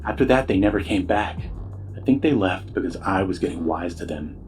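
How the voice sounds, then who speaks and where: An actor playing a weary, sardonic struggling musician who cannot sleep, in an audio drama. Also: male voice, deep voice, lively intonation, brisk, darkish tone, soft background music. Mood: uneasy. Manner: sardonic, blunt, hesitant. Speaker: caller to radio show.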